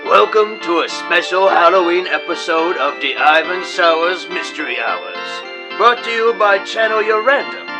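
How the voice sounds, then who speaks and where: A man's voice: high-pitched, sing-song, a little slow, over music, full character voice, rough recording. A man voicing a bossy, brash sidekick who announces an audio drama in a showy way. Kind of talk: audio drama show intro.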